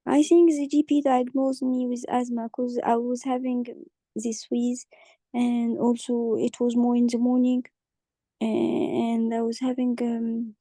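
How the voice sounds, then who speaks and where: A woman playing a hoarse forty-nine-year-old patient with a croaky voice, speaking haltingly with many pauses and restarts. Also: on the high side, warm tone, no echo. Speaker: simulated patient in exam practice.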